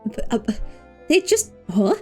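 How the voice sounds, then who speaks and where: A woman livestreaming a game, chatting casually and playfully with expressive exclamations and silly filler words, game music behind her. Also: on the high side, unhurried. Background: faint video game music.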